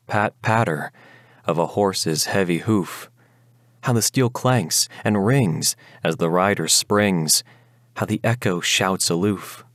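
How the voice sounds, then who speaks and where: A man with a low voice recites a Gothic ballad as its narrator. His delivery is theatrical and slow, shaped to the verse, and the sound is very dry and close. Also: no echo.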